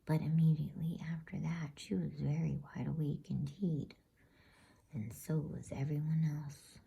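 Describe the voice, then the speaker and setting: A woman reading a bedtime story in a hushed, breathy ASMR whisper. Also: low-pitched, fairly even intonation, moderate pace. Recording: faint background noise.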